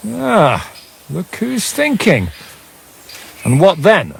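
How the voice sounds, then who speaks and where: An actor voicing a gruff, sardonic leader of an armed band in a video game, giving orders. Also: male voice, sits high, wildly swooping pitch, extremely slow, slight background noise, exaggerated voice. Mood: scornful, irritated. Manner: sardonic, commanding. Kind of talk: video game character acting.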